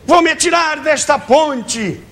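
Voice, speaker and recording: A man's voice: extremely high pitch, slow pace, bright, forceful tone, pitched above the performer's own voice, full character voice. A man voicing a melodramatic, despairing woman from an old radio drama, in a wailing manner. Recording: noisy TV studio audio.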